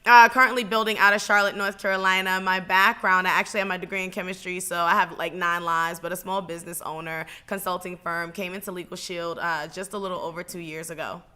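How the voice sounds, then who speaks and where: A woman's voice: fairly low pitch, flat delivery, quick pace, hardly any pauses, bright, forceful tone, smooth. A woman from New York introducing herself on a panel, giggly and matter-of-fact. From Queens, New York. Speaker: panelist, business owner.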